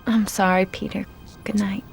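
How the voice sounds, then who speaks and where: An actress playing a gentle, regretful young woman, a love interest in a video game, speaking softly and apologetically. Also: medium pitch, little pitch movement, normal speed, gravelly, lightly acted. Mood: regretful, pained. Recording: prominent music behind the voice.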